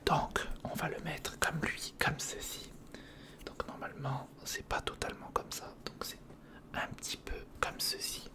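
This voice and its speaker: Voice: mid-range voice, little pitch movement, a little slow. An ASMR creator whispering casual step-by-step commentary on a hands-on build, murmuring directly to the listener.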